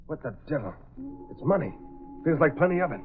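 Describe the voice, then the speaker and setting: Defiant longshoremen's boss: aggrieved, slangy, high-pitched, from a vintage radio serial with noisy, narrow sound. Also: male voice, very animated, fairly quick. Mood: wary, puzzled. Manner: rough working-class slang, combative. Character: tough, defiant, aggrieved dockworkers' strike leader. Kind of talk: 1930s-40s radio drama acting. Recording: thin, noisy old radio recording.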